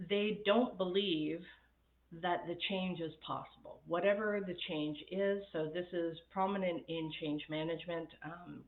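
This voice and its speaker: A woman coaching on a live stream, chatty and laughing often, her voice raspy from a recent illness. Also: lowish voice. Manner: chatty, laughs often, reads viewer comments. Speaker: happiness coach. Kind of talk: casual live-stream talk. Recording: tinny phone-like sound in an echoey room.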